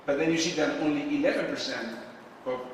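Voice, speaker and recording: A man presenting to a crowd at an event, heard from a distance in a big hall with a long, roomy reverb. He talks casually, stopping often on "uh" and asking the audience questions as he goes. Faint room noise sits under his voice. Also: fairly high pitch. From Argentina. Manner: casual, frequent filler pauses, asks the audience questions. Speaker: conference speaker. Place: event space with a live audience. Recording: echoey hall, distant mic.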